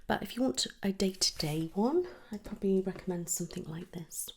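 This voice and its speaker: A woman speaks in a soft, breathy, half-whispered ASMR style, gently reassuring like an attentive make-up artist in a one-to-one roleplay, close to the microphone. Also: expressive, fairly quick, background noise. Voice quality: breathy whisper mixed with soft low speech.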